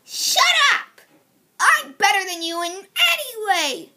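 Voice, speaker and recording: A woman's voice: very high-pitched, sing-song, slow pace, sharp tone, voice pushed up, heavily acted. A woman voicing a boastful, ambitious young male kitten with a bratty manner. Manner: bragging, bratty.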